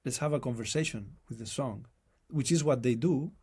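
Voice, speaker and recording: A man with a non-native accent, speaking as an interview guest in a reflective, halting way and often restarting his phrases. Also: mid-range voice, darkish tone, slightly rough. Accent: non-native English accent. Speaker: guest musician. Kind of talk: casual conversation.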